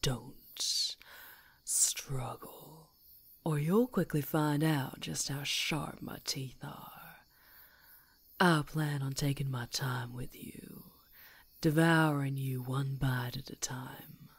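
Woman doing a big bad werewolf lady, asmr whisper, super slow and husky, menacing but playful, toying with prey. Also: extremely low pitch, steady tone, no room sound, heavily acted. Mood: predatory, savoring. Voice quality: breathy, husky. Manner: slow, menacing, teasing delivery. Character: predatory female werewolf hunter, menacing, gloating, playful.

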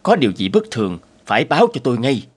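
Cartoon dad type, bit raspy, really lively intonation. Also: male voice, mid-range voice, quick pace. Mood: concerned, businesslike. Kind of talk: animated moral-tale voice acting.

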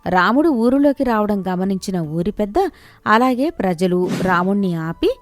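A woman's voice: medium pitch, lively intonation, normal speed, dry recording. A woman reading a scripted moral story as its storyteller. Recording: music behind the voice.

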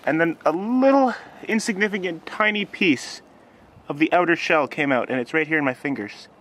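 A teenage man with a fairly high voice hosts a casual homemade vlog. He talks in an offhand, informal way into a camera outdoors, with clear noise and clicks in the recording. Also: animated, normal speed, a bit bright. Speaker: host of an online video series. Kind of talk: casual vlog chat and demonstration. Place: outdoors in a snowy yard. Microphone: handheld camera at arm's length or set nearby.

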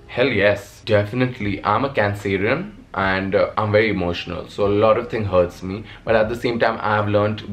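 A young man from Nepal with an English accent shaped by India, chatting expressively to camera from his bedroom. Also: lowish voice. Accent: Indian-influenced English. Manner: animated, expressive, gestures a lot while talking. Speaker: vlogger. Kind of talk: casual vlog answering viewer questions. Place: bedroom at home, indoors.

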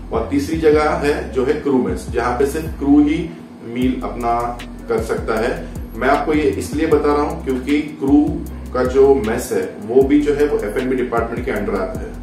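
A man's voice: mid-range voice, moderate pace, darkish tone. A young man vlogging about cruise ship jobs in casual, instructive talk, switching between Hindi and English and often checking in with "theek hai". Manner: mixes Hindi and English, repeats "ठीक है" and "आपको बताना चाहूंगा". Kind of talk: informal instructional talk to camera. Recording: roomy echo, distant mic, faint music behind.

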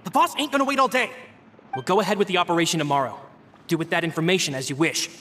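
A gruff male henchman from an animated game. The voice is rough and impatient, pitched high for a man, with clear noise around it. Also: very fast. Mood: impatient, menacing. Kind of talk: animated video game voice acting.

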